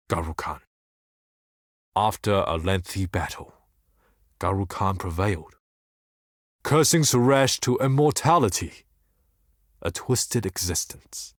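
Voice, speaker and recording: An actor playing a blind, scheming and tormented desert seer in a fantasy game, speaking in solemn, formal, theatrical monologue. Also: male voice, fairly low pitch, no echo, studio quality. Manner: solemn, formal, ominous, deliberate theatrical delivery.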